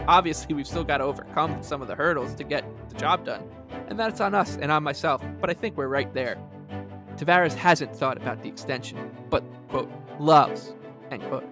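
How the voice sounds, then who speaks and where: A man co-hosting a sports podcast, reading articles aloud and chatting casually with a wry, mock-literary delivery. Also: a little high. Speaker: podcast co-host.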